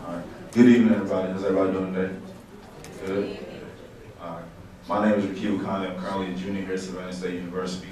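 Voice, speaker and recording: A young man from Nigeria, a student panelist, briskly introducing himself to the crowd at a panel. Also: sits low, level tone, background noise. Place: indoor event hall with audience. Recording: echoey hall, distant mic.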